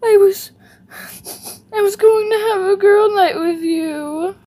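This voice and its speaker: A woman voicing an excitable, easily distraught winged cat girl in a cartoon, shrill and melodramatic. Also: slow, dry recording. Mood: tearful.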